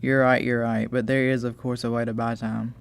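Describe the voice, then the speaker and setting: A teenage boy plays a flustered master of the house in a school radio play. He is a chatty, joke-loving gentleman who stammers as he tries to please. His voice is heavy with fry and moves over a fairly flat range. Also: mid-range voice, fairly quick, dry recording, natural voice. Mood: pleading, placating. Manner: stammering, talkative, eager to please.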